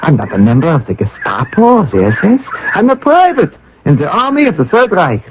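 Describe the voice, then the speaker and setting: An actor playing a shy, earnest young German army private in a radio drama, hesitant and apologetic. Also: male voice, fairly high pitch, wildly swooping pitch, a little fast, background noise. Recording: muffled old radio broadcast.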